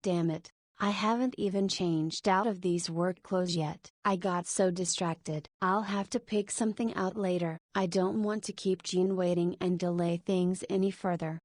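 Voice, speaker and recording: A woman in her forties voicing an anxious, possessive single mother in a narrated visual novel, speaking her thoughts in a plain, even way. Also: on the low side, unhurried, hardly any pauses, dry recording, clean studio sound. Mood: flustered. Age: 40s. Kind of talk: scripted visual novel voiceover.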